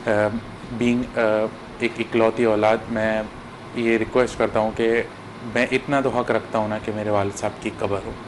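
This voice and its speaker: A young man making a halting appeal in an interview, switching in and out of English phrases. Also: on the low side, little pitch movement. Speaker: bereaved son being interviewed. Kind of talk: spoken public appeal. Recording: clear background hum and noise.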